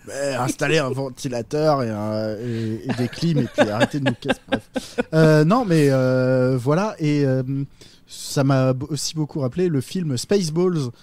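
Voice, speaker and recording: A man's voice: medium pitch, expressive, moderate pace, darkish tone. A man on a podcast panel talking casually, hesitantly searching for his words. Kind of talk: casual discussion, review.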